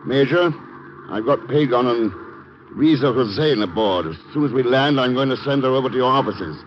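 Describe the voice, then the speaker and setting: An actor playing a cool, quick-witted American intelligence agent in a 1950s radio drama. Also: male voice, medium pitch, expressive, lightly acted. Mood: cool, brisk. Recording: muffled, hissy vintage radio broadcast.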